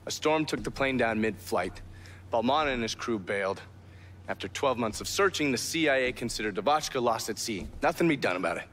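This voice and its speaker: An actor playing a gruff, foul-mouthed intelligence operative in a video game, terse and cynical as he briefs a partner. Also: medium pitch, lively intonation, brisk, a bit bright, a little hiss or hum, natural voice. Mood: grave, matter-of-fact. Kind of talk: video game cutscene acting.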